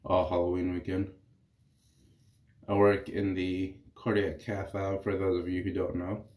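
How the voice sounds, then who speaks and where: A man's voice: low voice, unhurried. A man in his thirties, congested from a cold, casually explaining his shave to the camera in a small bathroom. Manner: relaxed, rambling, explains while shaving. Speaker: wet-shaving reviewer. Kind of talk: casual vlog commentary. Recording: echoey bathroom.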